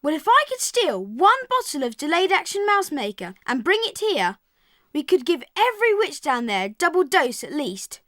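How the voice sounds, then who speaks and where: An eager little boy mouse in an audio drama. The voice is tiny and extremely high, with animated pitch and plenty of pluck. It sounds close and dry. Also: moderate pace, no room sound. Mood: eager, scheming.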